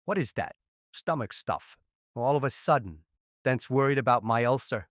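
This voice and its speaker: A man's voice: medium pitch, lively intonation, moderate pace, no echo, studio quality, close to an everyday voice. A man voicing a cocky, sardonic, vicious mob boss in a crime drama. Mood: sardonic, dismissive. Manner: brash, sardonic. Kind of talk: animated crime drama acting.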